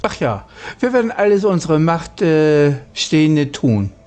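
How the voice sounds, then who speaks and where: A woman's voice: low-pitched, big pitch swings, unhurried, mellow, soft background music. A woman role-playing dialogue over a video game in a terse, formal manner.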